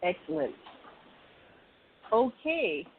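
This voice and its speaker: A woman hosting an online panel, briskly organizing speakers and keeping time. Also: fairly low pitch, sing-song, husky. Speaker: host and moderator. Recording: phone-like video call audio with faint hiss.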